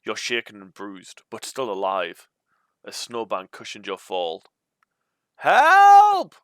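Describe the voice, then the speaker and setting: A man's voice: low-pitched, fairly even intonation, measured pace, bright, forceful tone, clean tone, no room sound, studio quality. A man reading an adventure storybook aloud as its narrator. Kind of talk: reading a choose-your-own-adventure book aloud.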